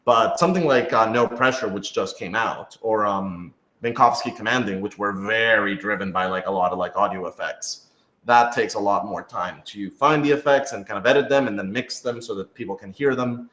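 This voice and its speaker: A man's voice: lowish voice, normal speed, pushed and bright. A man in a casual Q&A chat, explaining things in a rambling way full of filler words. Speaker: writer and audio editor of an audio drama. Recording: roomy, echoey room sound.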